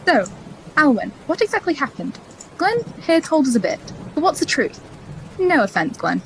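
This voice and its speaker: Woman playing bright high-pitched investigator. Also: very animated, moderate pace. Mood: inquisitive. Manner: direct, courteous. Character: polite, probing female investigator. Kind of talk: audio drama acting. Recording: noisy stormy ambience effects behind.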